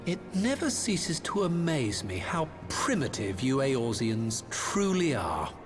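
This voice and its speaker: A man's voice: mid-range voice, huge pitch swings, slow pace, over music. An actor playing a haughty, condescending imperial man in a fantasy game, speaking formally with sardonic disdain.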